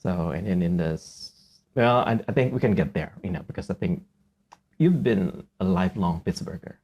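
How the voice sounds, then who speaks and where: A man from Indonesia with an Indonesian accent, hosting a casual interview and haltingly searching for words, often repeating himself. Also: deep voice, lively intonation, darkish tone, slightly rough, muffled recording. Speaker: podcast host, interviewer.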